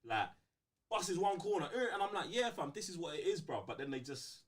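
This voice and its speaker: A man with a London British accent in casual fan debate on a livestream, slangy and excitable, repeating phrases for emphasis. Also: on the high side, fairly quick. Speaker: co-host of a film fan livestream.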